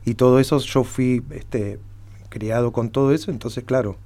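A man's voice: medium pitch, steady tone, moderate pace, dark tone. A middle-aged man with a Buenos Aires Spanish accent, chatting and reminiscing as a musician being interviewed. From Argentina (Buenos Aires area). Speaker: interview guest, flamenco musician. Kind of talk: casual conversational interview.

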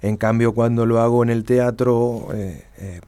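A man's voice: medium pitch, fairly even intonation, measured pace, mellow, clear, resonant tone. A middle-aged man with a Buenos Aires Spanish accent, chatting and reminiscing as a musician being interviewed. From Argentina (Buenos Aires area). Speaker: interview guest, flamenco musician. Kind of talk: casual conversational interview.

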